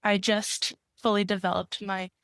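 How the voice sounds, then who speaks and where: A woman in her twenties, raspy from a cold, thinking aloud in a casual conversation. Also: mid-range voice, flat delivery, normal speed, fairly bright tone, dry recording, clean studio sound. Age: 20s.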